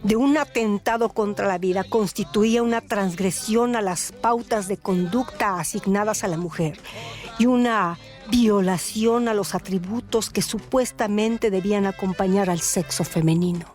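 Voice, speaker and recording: A Mexican woman tells a dramatized story for radio. Her mid-range voice is theatrical and deliberate in its emphasis, with music playing behind her. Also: medium pitch, darkish tone. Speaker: narrator.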